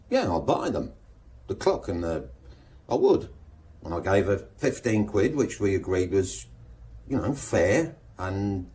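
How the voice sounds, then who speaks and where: A halting, deep-voiced nervous suspect. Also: male voice, a little mellow, a little hiss or hum. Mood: hesitant, defensive. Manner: halting, hesitant, stumbling over words. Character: nervous, evasive male suspect under police questioning. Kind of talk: naturalistic radio drama acting.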